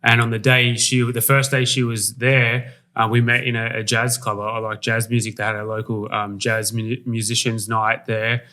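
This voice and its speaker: A man in his thirties from Australia with an Australian accent, a podcast guest telling a story in a halting way and repeating words. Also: medium pitch, level tone, pushed and bright. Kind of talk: casual storytelling interview.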